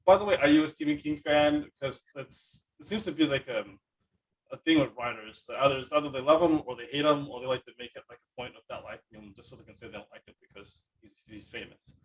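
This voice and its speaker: A man's voice: medium pitch, fast, rough recording. A man hosting a podcast in a casual, enthusiastic and rambling conversational way. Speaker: podcast host. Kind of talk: casual chat.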